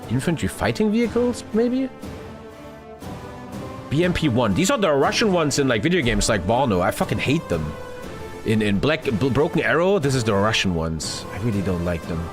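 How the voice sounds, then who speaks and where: Young adult man, loud profane live-stream gamer, huge pitch swings, light game music behind. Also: big pitch swings, normal speed. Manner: loud, swearing, jumpy rants to chat.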